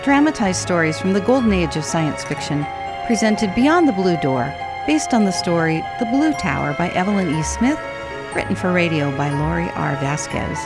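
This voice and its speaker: A woman's voice: fairly low pitch, expressive, normal speed. A woman announcer reading scripted credits for a radio drama. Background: music.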